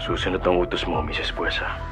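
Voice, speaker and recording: An actor playing a hired killer and family man in a Filipino radio drama, speaking gravely and deliberately. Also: low-pitched, level tone, music in the background, lightly acted. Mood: cold, businesslike.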